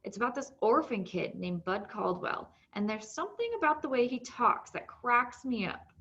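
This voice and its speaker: A woman's voice: a little high, expressive, moderate pace, a bit bright. Woman reading aloud, slightly dull lo-fi sound. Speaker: read-aloud narrator. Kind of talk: scripted narration of a children's novel.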